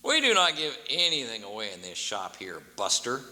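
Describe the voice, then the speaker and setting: A man voicing a gruff, impatient shopkeeper who talks bluntly and mockingly. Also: mid-range voice, very animated, normal speed, pushed and bright. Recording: long hall reverb, faint hum.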